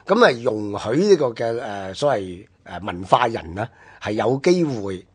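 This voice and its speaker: A man with a Hong Kong Cantonese accent explaining history on a radio programme in a halting, discursive way. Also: on the low side, very animated, a little mellow, slightly rough. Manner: halting, discursive, with drawn-out phrase-ending particles. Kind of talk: unscripted explanatory history talk.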